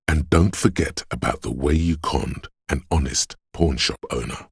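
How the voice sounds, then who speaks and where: Grave inner voice of empathy, literary game narration, rumbling bass, gravelly, measured, close dry recording. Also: male voice, no echo, exaggerated voice. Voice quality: gravelly, husky.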